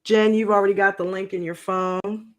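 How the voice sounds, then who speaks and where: A woman casually reviewing a reality show on a livestream, slangy and animated, acting out quotes in a mocking tone. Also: mid-range voice, moderate pace. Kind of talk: casual reaction livestream chat.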